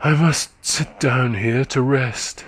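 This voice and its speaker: A man voicing a weary, faint middle-aged countrywoman who is exhausted and short of breath, speaking slowly and low. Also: slightly rough, dry recording. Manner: slow, low, sorrowful.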